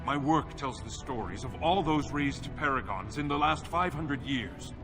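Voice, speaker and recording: An actor playing a principled, stubborn but humble historian in a fantasy game, speaking formally and earnestly. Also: male voice, medium pitch, animated, moderate pace, close to an everyday voice, low-quality recording. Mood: earnest, defiant. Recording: music behind the voice.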